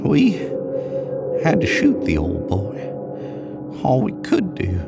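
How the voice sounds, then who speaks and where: A man's voice: mid-range voice, huge pitch swings, takes it slowly, gravelly, over loud music, full character voice. A man voicing an older, rambling American cowboy in an audio horror story, with a folksy, drawling manner. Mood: grim, reminiscing. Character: older rambling cowboy spinning a spooky trail tale.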